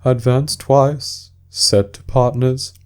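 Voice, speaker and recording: A mournful, tearful Mock Turtle with a raised, wavering voice, as a male reader puts him on. He drags his words out slowly and sadly. He sounds like a gloomy sea creature from a storybook. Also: fairly even intonation, smooth, lightly acted. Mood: eager. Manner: mournful, tearful, slow and high. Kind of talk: storybook reading.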